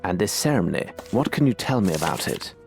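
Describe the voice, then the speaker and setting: An actor playing a grave, commanding gentleman detective in a video game, speaking with measured, intent authority. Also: sits low, very animated, creaky, soft background music, exaggerated voice.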